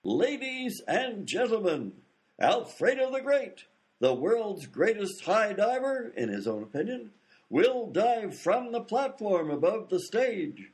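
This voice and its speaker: A man plays an old variety stage announcer in a radio comedy. His pitch is high and swoops widely, with a showy delivery that flusters easily. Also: very animated, takes it slowly, a little mellow, heavily acted. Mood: grand, showy. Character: excitable male 1920s variety show announcer.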